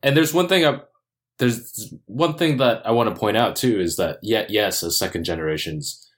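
Man, deep voice, earnest, hesitant delivery, casual discussion. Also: normal speed.

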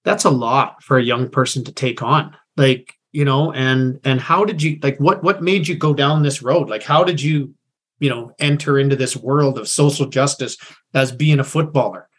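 A man hosting a podcast in loose, casual conversation, with a voice of ordinary middle pitch. He rambles a little, restarting phrases and leaning on fillers as he goes. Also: normal speed. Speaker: podcast host. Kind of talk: casual interview chat.

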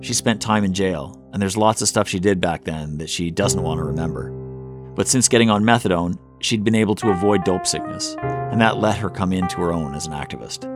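Deep-voiced man narrating calmly over music. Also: a little fast. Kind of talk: scripted documentary narration. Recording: music behind voice.

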